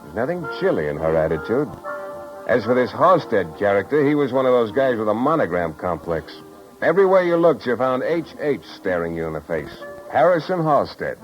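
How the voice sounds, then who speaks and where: An actor playing a wry, tough private detective in clipped 1950s radio style. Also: male voice, mid-range voice, very animated, a little fast, lightly acted. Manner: wry, hard-boiled, clipped. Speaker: lead actor and narrator. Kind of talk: 1950s radio detective acting, first-person narration. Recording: dull 1950s radio recording, music behind.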